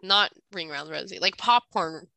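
A woman's voice: lowish voice, fairly quick, vocal fry, sharp tone, no echo. A young adult woman with a slightly congested, nasal voice, chatting casually and jokily as a podcast co-host in a self-deprecating way. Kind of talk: casual banter between siblings.

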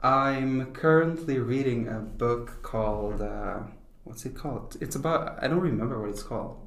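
A man's voice: medium pitch, moderate pace, reverberant. A man from Sweden, a politician and former teacher, speaking as a guest on a podcast over a video call. He talks hesitantly and often restarts his sentences. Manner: hesitant, many restarts and fillers. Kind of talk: podcast interview.

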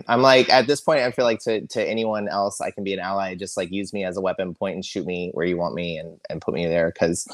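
A middle-aged man with a loud, very deep voice talks candidly as a podcast guest. He chats freely and breaks into laughter often. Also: fairly quick. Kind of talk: casual interview chat.

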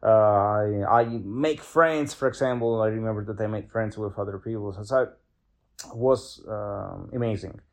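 Young Italian-accented man, lowish pitch, hesitant guest speaking in a second language. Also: normal speed, creaky. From Italy. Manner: hesitant, many fillers and restarts. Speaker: guest polyglot. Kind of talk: casual interview chat.

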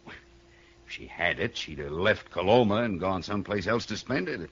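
A man's voice: deep voice, expressive, normal speed, slightly rough, exaggerated voice. An American man playing a desperate small-town banker in a radio western, anxious and emphatic. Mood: worried, explaining. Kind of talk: 1950s radio western acting. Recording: muffled 1960 radio broadcast.

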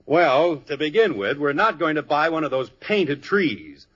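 A man's voice: fairly high pitch, big pitch swings, a little slow, a bit bright, highs cut off, exaggerated voice. An American actor playing an exasperated, indignant middle-aged father in a 1950s radio sitcom, blustery, sarcastic and emphatic. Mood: determined.

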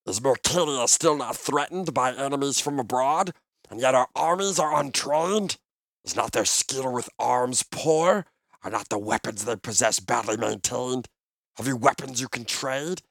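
A man's voice: mid-range voice, a little slow, vocal fry, a bit bright, dry recording, pitched above the performer's own voice. A man voicing a sly, mocking little goblin with oily, fawning, questioning speech in a fantasy audiobook. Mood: oily, probing. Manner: obsequious flowery speech, all in questions.

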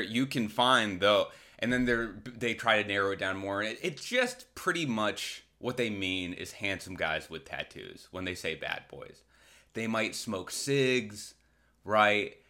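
American male podcast host, bright punchy tone, sardonic and rambling, casual comic delivery. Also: lowish voice. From Massachusetts, United States. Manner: rambling, irreverent, crude, sardonic. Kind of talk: casual comedic monologue.

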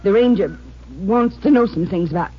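An actress playing a nervous farmer's wife in an old-time radio drama, speaking in a halting, hesitant way. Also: female voice, mid-range voice, lightly acted. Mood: uneasy. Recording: muffled, noisy old radio recording.

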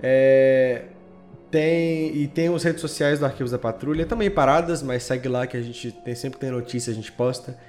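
A man's voice: medium pitch, normal speed, darkish tone. Casual Brazilian man over faint music. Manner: informal, slangy. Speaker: podcast creator, guest. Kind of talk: casual chat.

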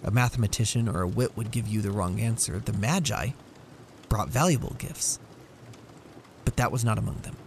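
A man giving a measured, theatrical reading of a story as scripted narration. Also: fairly low pitch, expressive, slight background noise. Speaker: story reader.

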